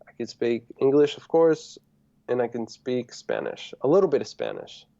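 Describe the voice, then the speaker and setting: A man raised in the United States who grew up speaking Greek at home, chatting casually as a guest on a live stream in a relaxed, rambling way. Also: mid-range voice, normal speed, creaky, highs cut off. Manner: relaxed, rambling, laughs easily.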